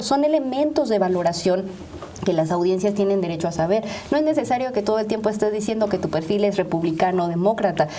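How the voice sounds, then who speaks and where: A woman's voice: medium pitch, dark tone, slight background noise. A woman with a Mexican accent explaining points didactically as a guest in a panel discussion, arguing through examples. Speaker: panel guest, media ethics expert.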